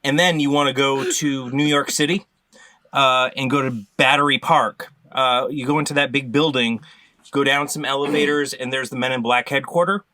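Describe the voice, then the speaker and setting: Male comedy panelist, medium pitch, bright tone, halting deadpan absurd advice. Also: dry recording. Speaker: quiz panelist. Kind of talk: improvised absurd comic explanation.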